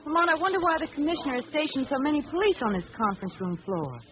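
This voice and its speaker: A woman's voice: high voice, very animated, very fast. An actress playing a detective's anxious, inquisitive woman companion, eagerly questioning in 1940s radio style. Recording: dull, noisy old radio recording, crowd murmur.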